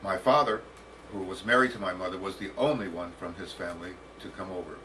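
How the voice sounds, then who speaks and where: Deep-voiced American man around sixty, slightly distant. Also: bright, forceful tone. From United States, Detroit. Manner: deliberate, halting, formal phrasing. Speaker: professor, interview guest. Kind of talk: autobiographical storytelling. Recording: distant mic with faint background noise.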